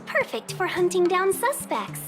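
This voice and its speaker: A coy, assured young female guide for a video game: high-pitched, very melodic, measured and teasing. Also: sing-song, over music, exaggerated voice. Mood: coy, inviting. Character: poised young woman guide, smooth, coy and businesslike. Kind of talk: video game character dialogue.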